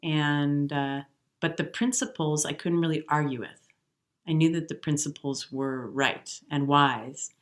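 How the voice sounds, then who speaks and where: A woman's voice: low-pitched, fairly even intonation, measured pace. Woman in her fifties, Midwest-raised jazz singer, guest in an interview, talks in a reflective, earnest way. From Milwaukee, US Midwest. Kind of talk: conversational interview.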